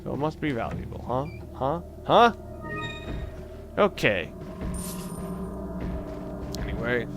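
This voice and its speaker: A man hosting a let's play, commentating casually on a video game in a rambling, halting way with dry joking asides. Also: mid-range voice, very animated. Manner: rambling, halting self-corrections. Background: video game music.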